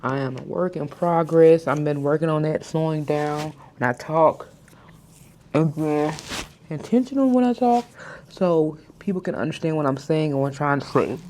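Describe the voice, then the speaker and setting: A woman's voice: sits low, lively intonation, mellow, a little hiss or hum. Woman in her thirties with a stutter. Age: 30s. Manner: stutters and mispronounces words, talks slowly on purpose. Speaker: vlogger. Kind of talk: casual skincare vlog chat. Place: hotel room, indoors.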